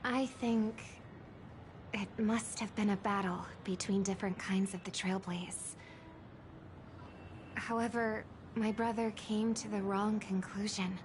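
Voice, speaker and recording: An actress playing an earnest, resolute young woman, a devoted sister, in gentle, measured game dialogue. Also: medium pitch, steady tone, noisy background, natural voice. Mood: solemn, reflective. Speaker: game character voice actor. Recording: music behind the voice.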